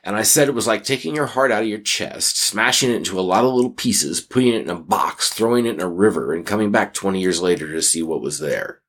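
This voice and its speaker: A man co-hosting an advice podcast, speaking conversationally with emphatic, deliberate phrasing and dramatic pauses. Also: lowish voice, fairly bright tone. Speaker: podcast co-host.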